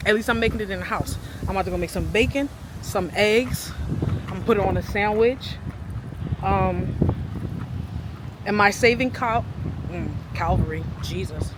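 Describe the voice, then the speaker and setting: A woman's voice: mid-range voice, noisy background. A woman in her thirties talking casually to the camera in a rambling, chatty vlog, laughing between thoughts. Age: 30s. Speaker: vlogger.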